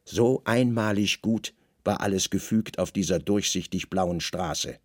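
A man narrating a literary radio play in measured, expressive, weighted phrases. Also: sits low, no room sound, clean studio sound. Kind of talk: literary scripted narration, dramatic audio drama delivery.

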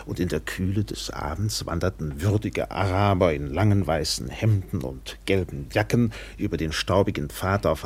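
A weathered steamship captain in a radio play. His voice is very low and husky, and he speaks with widely swinging intonation, like a practised literary storyteller. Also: exaggerated voice. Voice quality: gravelly, husky. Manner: expressive, measured literary storytelling with acted dialogue. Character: weathered steamship captain recounting a colonial tale. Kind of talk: German radio play narration and dialogue.

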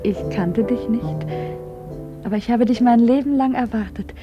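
A woman's voice: fairly high pitch, moderate pace, muffled recording, close to an everyday voice. An actress playing a tender, wistful, lovestruck woman in her early twenties in a 1950s German radio play. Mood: blissful. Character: dreamy lovestruck young woman of twenty-two. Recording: 1950s radio recording with guitar music. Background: plucked guitar music.

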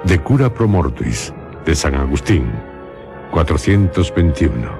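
Very deep-voiced man over music. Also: very animated, unhurried. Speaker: host and narrator of a radio drama. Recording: music behind the voice.

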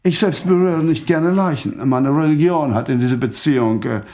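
An actor playing a haughty, courteous maharaja in a radio play, speaking in a formal, lordly manner. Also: male voice, a little high, normal speed, mellow, natural voice. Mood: coolly commanding.

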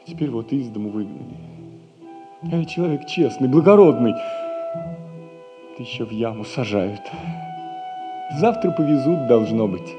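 An actor playing a poor, honest young clerk who is lovesick and self-pitying, in a 1960s theatre radio play. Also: male voice, mid-range voice, expressive, measured pace, long pauses, highs cut off, music in the background, natural voice. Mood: aggrieved. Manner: pleading, aggrieved. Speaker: stage actor.

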